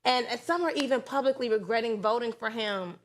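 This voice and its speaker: A woman's voice: fairly high pitch, brisk. A woman hosting a talk show, giving conversational news commentary in a sassy, emphatic, colloquial way.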